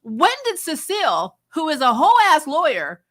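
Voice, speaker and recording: A middle-aged woman giving a casual, opinionated recap as a review host, exasperated and animated, often mimicking other people's lines. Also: high voice, moderate pace. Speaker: host of a fan TV review channel.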